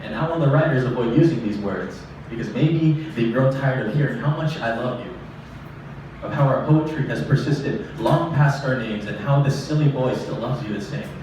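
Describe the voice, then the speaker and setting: A man's voice: mid-range voice, moderate pace. A young man performing spoken-word poetry live at a stage microphone, earnest and rhythmic, with casual asides. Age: young adult. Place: small live open-mic venue stage. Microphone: stage microphone, captured from a distance. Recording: very echoey live room, distant, noisy.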